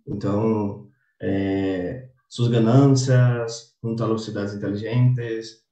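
A Brazilian man whose first language is Portuguese speaks on a video call, sliding from Spanish into Portuguese. His low, dark voice stays flat and slow. The sound is roomy and a little distant. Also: highs cut off. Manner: drifts from Spanish into Portuguese, tag "né?". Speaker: civil engineer, panel speaker. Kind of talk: panel presentation on a video call.

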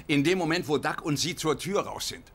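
A man's voice: on the high side, brisk, a bit bright. An actor playing a cranky, eccentric, scheming old father in a dubbed sitcom. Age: older. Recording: very noisy TV sitcom soundtrack.

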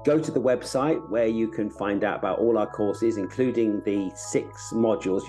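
A middle-aged man with a fairly low voice speaks straight to his listeners as a podcast host. He gives an informal promotional announcement from a room at home, with faint guitar music behind him. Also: mellow. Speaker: podcast host, acting teacher.

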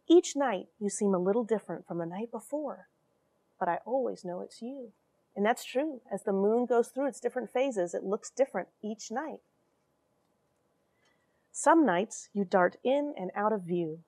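A woman in her forties reading and explaining a story to children in an animated, questioning way from a small airlock. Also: mid-range voice, moderate pace. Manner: animated, expressive, addresses young listeners with questions. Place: small cramped metal space station airlock.

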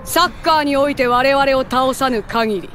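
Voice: sits high, normal speed, background noise, close to an everyday voice. An actor playing a haughty, menacing young alien conqueror who makes formal, pompous proclamations in an anime game. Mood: cold, commanding. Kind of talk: dramatic anime game voice acting.

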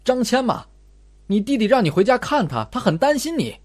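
An earnest, naive young man in a suspense audiobook, acted by a male narrator. His voice sits higher, plain-spoken and stubbornly insistent, in a dry recording. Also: sing-song, fairly quick, no echo, exaggerated voice. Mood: loud, calling out.

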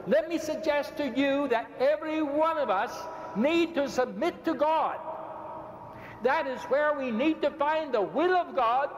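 A man's voice: very high voice, a little hiss or hum. An older man from Ireland preaching emphatically to a large audience, building repeated phrases and gesturing as he moves around a podium. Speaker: bishop debating in a public debate. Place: large indoor arena stage. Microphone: podium microphone, speaker often stepping away. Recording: echoey arena with long reverb.

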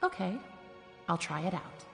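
A woman's voice: on the low side, big pitch swings, unhurried, gravelly, fairly bright tone, heavily acted. An actress playing a guarded, level-headed woman warrior in a game cutscene, composed, wry and firm. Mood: calm, wry. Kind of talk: video game cutscene acting. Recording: long reverb, faint music behind.

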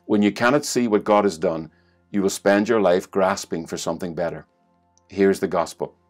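A man's voice: deep voice, moderate pace, soft background music. A middle-aged man with an Irish accent preaching an online sermon from his living room, reading scripture and building to emphatic exclamations, recorded on a webcam. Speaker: pastor. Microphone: webcam at desk distance.

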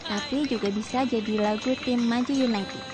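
A woman's voice: fairly high pitch, fairly even intonation, moderate pace, music in the background, lightly acted. An actress playing a friendly teenage girl singer in a radio drama.

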